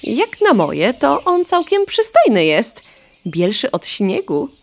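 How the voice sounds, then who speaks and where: A vain, slightly dim hen in a children's audio play. Her voice is fairly high and animated, with a sassy, offhand delivery. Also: takes it slowly, slight background noise, exaggerated voice. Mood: flippant, vain. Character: vain, flippant, dim-witted gossipy hen.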